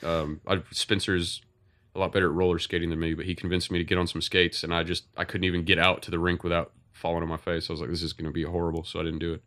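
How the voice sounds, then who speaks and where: A man's voice: deep voice, level tone, fast talker, fairly bright tone. A man hosting a podcast, chatting casually in a rambling way with many restarts. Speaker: podcast host.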